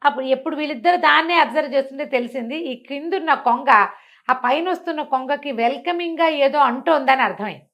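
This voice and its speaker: A woman's voice: high voice, quick pace, a bit bright. A woman telling a bedtime story informally and conversationally, with explanatory asides and rhetorical questions.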